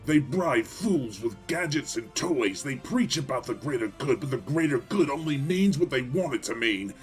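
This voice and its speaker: A man's voice: mid-range voice, expressive, moderate pace, lightly acted. A man playing a huge, proud farmer-mayor in an audio drama, speaking bluntly and emphatically with defiant conviction. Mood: defiant, resolute. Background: faint background music.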